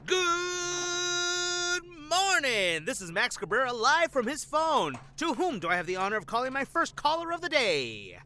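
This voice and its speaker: An actor playing a cocky, playful undercover operative in an audio drama, with a theatrical, teasing delivery. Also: male voice, extremely high pitch, sing-song, background noise, exaggerated voice. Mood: playful, showy. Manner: theatrical, joking, drawn-out delivery.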